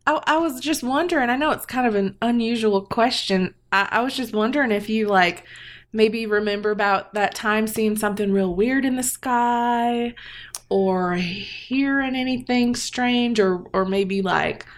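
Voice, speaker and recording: A woman's voice: on the high side, measured pace, pitched below the performer's own voice, close to an everyday voice. A woman playing a polite, curious adventurer with a Southern accent, asking hesitant questions.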